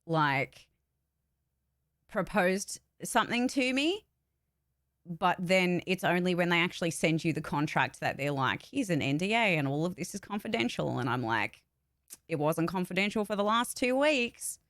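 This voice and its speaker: A woman with a fairly low voice talks in a casual, candid livestream style. She is blunt and emphatic and swears casually. The sound is dry and close, with very little room in it. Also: expressive, normal speed, a bit bright, dry recording. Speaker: voice actor and channel host.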